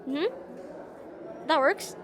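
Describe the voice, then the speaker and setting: A woman's voice: high voice, very animated, extremely slow, noisy background, heavily acted. A woman playing a shy, rambling young witch student in a slice-of-life fantasy audio roleplay, chatty, hesitant and self-deprecating. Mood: sheepish, playful. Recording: voice over busy classroom crowd ambience.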